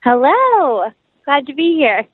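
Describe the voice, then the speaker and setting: A woman's voice: sits high, sing-song, takes it slowly, dry recording. A woman from North Carolina speaking as an interview guest over a remote call. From North Carolina, United States. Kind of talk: interview conversation. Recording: tinny, narrow phone-like line.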